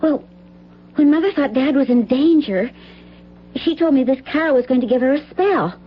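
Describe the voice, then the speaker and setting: A woman acts a worried, well-mannered daughter in a radio play. Her voice is fairly high. The old recording is dull, with a little noise. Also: moderate pace, natural voice. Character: anxious, well-mannered grown daughter of a suspect. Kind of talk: old-time radio drama acting.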